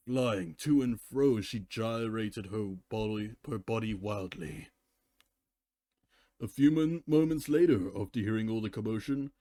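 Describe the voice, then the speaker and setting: A man's voice: medium pitch, warm tone, dry recording. A man giving a live dramatic reading of fan fiction as narrator. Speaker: story reader.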